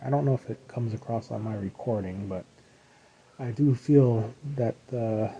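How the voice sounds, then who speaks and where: A man hosting his own podcast in a casual, rambling solo chat, his voice a little rough from a post-nasal drip. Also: lowish voice, moderate pace, dark tone, highs cut off, a little hiss or hum. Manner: rambling, self-correcting, chuckles now and then. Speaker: podcast host.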